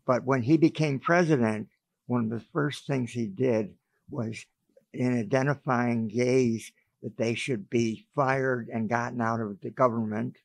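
A man in his eighties, a guest, explaining history calmly in a group conversation. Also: mid-range voice, gravelly, no room sound. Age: 80s. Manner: measured, explanatory. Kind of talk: recounting history in a group chat.

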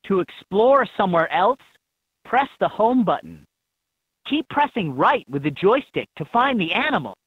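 A woman with a husky voice reading scripted prompts as an encouraging guide in a children's game, on dull toy audio. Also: mid-range voice, sing-song, normal speed, no room sound.

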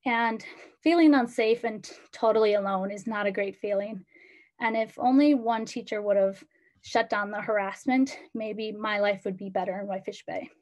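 A teenage woman from Ecuador, a student panelist, giving earnest personal testimony over a video call, with frequent pauses. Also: medium pitch, a little mellow.

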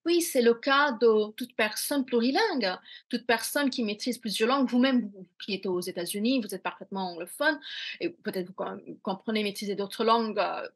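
A middle-aged woman from India, Bengali-native, talks over a video call from a small room at home. Her voice sits a little high and has a husky grain. She reflects as she speaks, full of fillers and restarts. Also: normal speed. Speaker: author. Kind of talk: interview answers.

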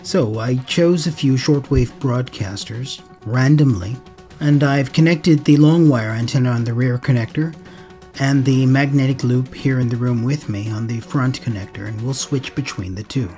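A man's voice: medium pitch, moderate pace, dark tone. A man narrating a tutorial as the host of an amateur radio channel. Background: music.

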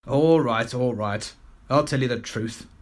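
A man playing a flustered, earnest upper-class gentleman in a homemade comic radio play. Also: medium pitch, unhurried, close to an everyday voice. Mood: confiding. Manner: flustered, stiff-upper-lip exclamations.

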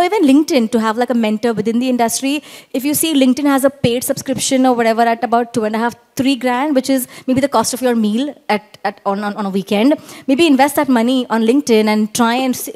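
A woman's voice: a little high, a little mellow. A woman in her forties with an Indian English accent, speaking as a panelist on a conference stage into a handheld mic, earnest and encouraging. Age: 40s. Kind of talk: panel discussion.

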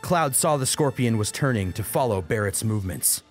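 A man's voice: medium pitch, animated, normal speed, soft background music. A man narrating an action story as an audiobook, in a dramatic scripted style.

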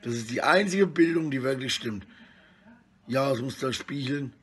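A middle-aged man talks into his phone in a room at home. His voice is husky and unclear at a medium pitch. He rambles casually in coarse slang, often tacking on little tag questions. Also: normal speed. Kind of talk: rambling casual selfie monologue. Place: small indoor room at home. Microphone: phone at arm's length.

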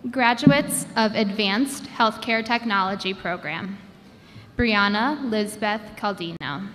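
A woman reading graduates' names one by one at a commencement ceremony, announcing each name with a measured pause through a podium microphone. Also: medium pitch, fairly bright tone. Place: large indoor gymnasium. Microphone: podium microphone over a PA system. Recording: long hall reverb with crowd noise. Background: crowd ambience.

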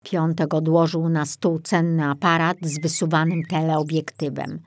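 A woman narrating a children's storybook in an expressive, deliberate style. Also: deep voice. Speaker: audiobook narrator.

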